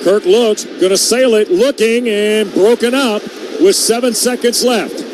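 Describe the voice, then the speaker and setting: Male play-by-play announcer, American, high-pitched and punchy, calling football live over clearly audible stadium noise. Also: measured pace. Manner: punchy short present-tense calls. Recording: loud stadium noise behind the voice. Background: stadium ambience.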